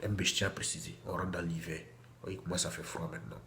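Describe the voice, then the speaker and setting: A man's voice: low voice, flat delivery, fairly quick, pushed and bright, a little hiss or hum. A man with a cold, chatting casually to his phone camera in a small bathroom at home, repeating himself and restarting his sentences. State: has a cold. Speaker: vlogger. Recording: echoey room with faint ambience.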